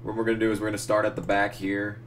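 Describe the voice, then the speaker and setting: Deep-voiced young man muttering while editing. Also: monotone, racing, slight background noise. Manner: mutters and swears at software, self-narrating. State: losing his voice. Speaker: gaming channel host. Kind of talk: casual tutorial commentary while editing.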